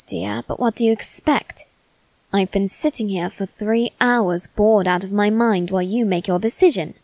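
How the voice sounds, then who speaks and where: A woman's voice: medium pitch, vocal fry, a little mellow, dry recording, low-quality recording. A woman playing a teasing, haughty young male demon servant in a theatrical, mock-polite ASMR roleplay. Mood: impatient, coaxing. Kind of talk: ASMR character roleplay addressed to the listener.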